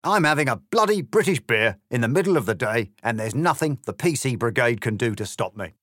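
A man's voice: medium pitch, expressive, a little fast, no echo, studio quality, voice pushed up, natural voice. A man doing a blustering grinning cat. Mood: smug. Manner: blustering, loud and indignant. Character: smug grinning male cat, pompous political ranter.

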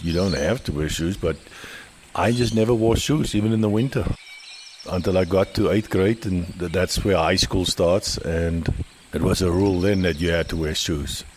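A man's voice: low voice, a little mellow, slight background noise. A South African man, a native Afrikaans speaker, telling stories about his childhood as an interview guest.

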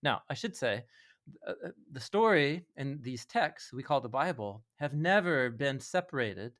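A man's voice: medium pitch, animated, unhurried, fairly bright tone. A man talking as a podcast guest and Bible teacher, explaining things earnestly in a conversational way with frequent small chuckles.

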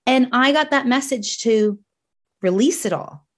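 A woman's voice: a little high, unhurried. Earnest woman with a New Jersey accent. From New Jersey, United States. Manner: earnest, expressive, reflective. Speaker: guest, coach. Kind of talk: podcast conversation.

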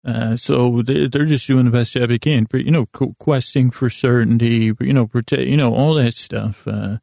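A man hosting a bedtime podcast in a meandering, halting monologue that keeps interrupting itself, lighthearted and self-deprecating, with a gravelly voice. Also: mid-range voice, steady tone, mellow, dry recording, clean studio sound. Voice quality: gravelly, creaky. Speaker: podcast host.

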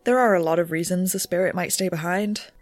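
An actress playing an eager, nervy young amateur ghost researcher, talking in wry, rambling audio notes for an audio drama. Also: female voice, lowish voice, brisk, dry recording, faint music behind, natural voice. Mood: earnest, explanatory. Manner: rambling, wry, animated asides.

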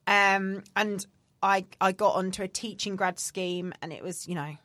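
A woman's voice: medium pitch, fairly even intonation, a little slow, fairly bright tone, no room sound. A woman in her thirties, chatting candidly as a podcast co-host with lots of fillers. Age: 30s. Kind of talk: casual chat.

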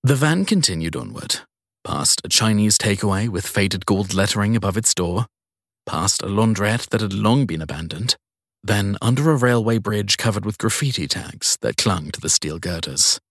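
Low-pitched man narrating crime fiction. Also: lively intonation, moderate pace, a bit bright, no echo, studio quality. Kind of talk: scripted audiobook narration.

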